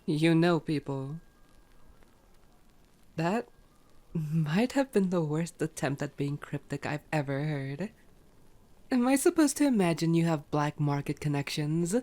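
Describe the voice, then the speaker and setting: A woman acts out a female vampire with a lowish voice and an unhurried, drawling delivery. She is sardonic and teasing, grumbling in exasperation and swearing casually, in the style of an ASMR roleplay. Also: big pitch swings, dark tone. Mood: teasing, sarcastic. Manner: sarcastic, exasperated, casually profane.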